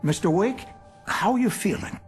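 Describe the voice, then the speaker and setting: A man's voice: high voice, expressive, normal speed. A man playing a mild, attentive doctor in a game, with a hesitant, clinical manner. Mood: concerned. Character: attentive male doctor examining a patient, measured and mild. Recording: music behind the voice.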